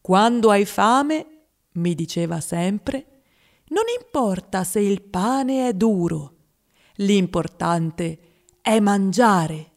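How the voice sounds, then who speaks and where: A woman playing a wistful grown-up who was a fat child, in a deliberate, theatrical radio monologue. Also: medium pitch, animated, darkish tone. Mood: tender, nostalgic. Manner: deliberate, dramatic delivery with drawn-out lists. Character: wistful formerly fat grown-up recalling a greedy, mother-adored childhood.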